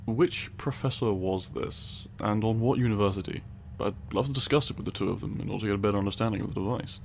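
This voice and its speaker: A man playing a low, gravelly, exasperated scientist. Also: fast, darkish tone, slight background noise. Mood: intrigued, analytical. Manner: dry, precise, condescending, wordy. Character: tiny male scientist Frederick, stern, exasperated, sarcastic, pedantic. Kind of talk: scripted comedic audio roleplay.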